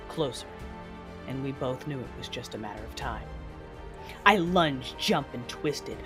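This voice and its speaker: An intense Sith warrior mother , acted by a woman, tells her own story in a science fantasy audio drama. Her delivery is brooding and theatrical, with emphatic dramatic weight. Music and rain play behind her. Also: extremely low pitch. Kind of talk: dramatic first-person audio drama narration.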